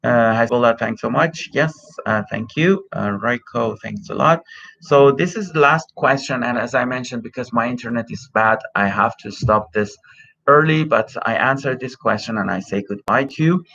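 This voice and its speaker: A man's voice: medium pitch, normal speed, creaky, fairly bright tone, slight background noise. A middle-aged man whose first language is Farsi, hosting a live Q&A as an immigration consultant, hesitant with many fillers and restarts, speaking into a cell phone. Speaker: immigration consultant, livestream host. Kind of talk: live Q&A, reading and answering viewer questions. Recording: dull lo-fi phone audio.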